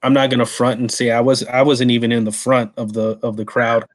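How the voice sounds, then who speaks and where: A man's voice: mid-range voice, level tone, fairly quick. A man from Texas with an American accent, interviewing someone in a casual, conversational style. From San Antonio, Texas, USA.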